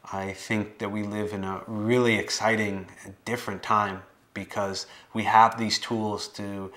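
An American man in his late twenties giving personal testimony to camera in a plain-spoken, measured way, with some hesitant phrasing. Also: on the low side, level tone, a bit bright. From Boston area, United States. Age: late 20s. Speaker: documentary subject telling his life story. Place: living room, indoors.

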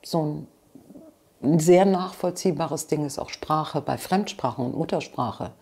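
A woman's voice: sits low, measured pace, warm tone, breathy edge. A woman, answering interview questions frankly and with passion, seated in a living room. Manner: passionate, frank, with restarts and asides. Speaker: interview guest.

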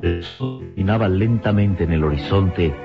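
A man's voice: deep voice, normal speed, over music, lightly acted. A man narrating an old radio adventure serial in a florid, solemn, suspenseful style. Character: grave male storyteller of adventure serial. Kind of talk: dramatic scripted radio narration. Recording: muffled vintage radio recording.

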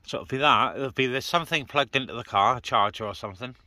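A man's voice: mid-range voice, fast talker, sharp tone, no room sound. A man chatting casually and colloquially to a handheld camera outdoors, as a CB radio hobbyist making a vlog. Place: outdoors on open, breezy moorland beside a car. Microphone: handheld phone or camera, operator behind it. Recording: noisy outdoor recording, vehicle and ambient noise.

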